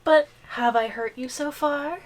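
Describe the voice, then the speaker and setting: A woman playing a possessive yandere giantess girlfriend in an ASMR roleplay, sweetly menacing and playfully teasing. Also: sits high. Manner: slow, playful teasing with frequent giggles. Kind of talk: ASMR roleplay audio drama.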